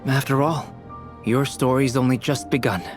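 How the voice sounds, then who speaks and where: A man voicing a calm, scholarly young alchemist in a video game, with measured, formal speech. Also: mid-range voice, lightly acted. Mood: composed. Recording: music behind the voice.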